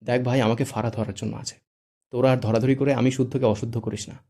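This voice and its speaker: Man doing a cheeky young guy, mocking and theatrical, gravelly, dark tone. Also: mid-range voice, steady tone, a little fast, lightly acted. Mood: teasing.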